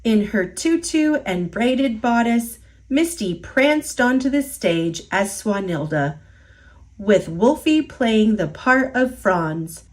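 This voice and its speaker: A woman reading a children's picture book aloud, slowly and expressively, in a sing-song storytelling manner. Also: fairly high pitch, animated. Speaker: storytime reader.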